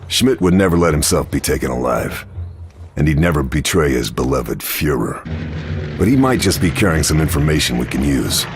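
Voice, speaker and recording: An actor playing a grim, resolute World War Two sniper, narrating a video game cutscene in a terse, hard-boiled, gravelly voice. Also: male voice, animated, moderate pace, noisy background. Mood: grim, determined. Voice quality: very deep, gravelly. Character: grim, resolute male WWII Allied sniper agent on a mission. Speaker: narrating protagonist.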